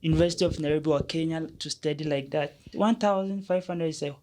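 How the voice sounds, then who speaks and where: A man's voice: fairly high pitch, quick pace, a little mellow. A man hosting an interview, asking student leaders questions and affirming their answers often. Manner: encouraging, frequent affirmations.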